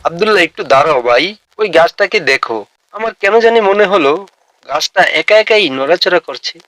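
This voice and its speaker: A man's voice: sits high, expressive, fairly quick, a bit bright, slight background noise, poor audio. A man playing an anxious, easily frightened young village lad in a cartoon, with a worried way of talking. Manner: worried, questioning.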